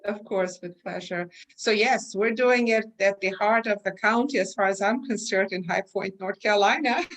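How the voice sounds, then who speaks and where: A middle-aged woman from Armenia with a slight Armenian accent, answering interview questions in a measured, explanatory way with frequent fillers. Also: medium pitch, low-quality recording. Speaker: guest, research company chair.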